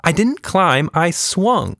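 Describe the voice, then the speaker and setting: A man voicing a curious, lively young girl who talks eagerly. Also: on the high side, slow, creaky, dry recording, studio quality, voice pushed up, heavily acted.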